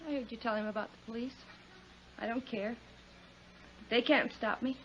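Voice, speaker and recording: A woman's voice: on the high side, steady tone, moderate pace, close to an everyday voice. An American actress playing a desperate, distrustful, defiant woman in a 1950s radio drama, overwrought and wary. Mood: bitter, defiant. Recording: dull, noisy 1950s radio recording.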